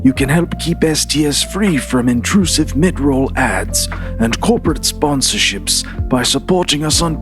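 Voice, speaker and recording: A man reading a scripted show outro as announcer in an upbeat promotional way. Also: medium pitch. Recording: voice over clear music bed.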